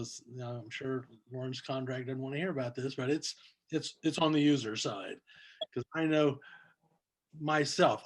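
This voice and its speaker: A man giving a casual report on a video call, halting and hesitant in a self-deprecating way. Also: mid-range voice. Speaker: club member giving a technical report.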